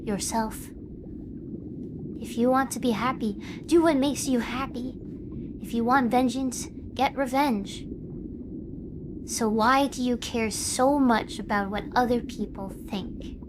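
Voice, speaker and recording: A woman plays a young female streamer and her alter ego in an audio drama. The voice is fairly high and emotionally intense, with clearly audible ambient noise behind it. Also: fairly even intonation, takes it slowly. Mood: grave, brooding. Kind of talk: scripted dramatic dialogue. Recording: noisy background ambience.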